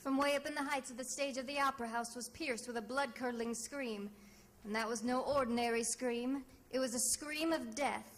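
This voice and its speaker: An actress playing a brisk, plucky woman detective in an old-time radio mystery, with crisp, dramatic delivery. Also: fairly high pitch, steady tone, heavy background noise, close to an everyday voice. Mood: urgent, suspenseful.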